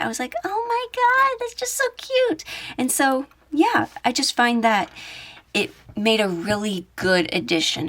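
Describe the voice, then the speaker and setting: A woman's voice: medium pitch, fairly bright tone. A woman casually talking through a show-and-tell of her things, chatty and enthusiastic. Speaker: YouTube audio creator showing her collection.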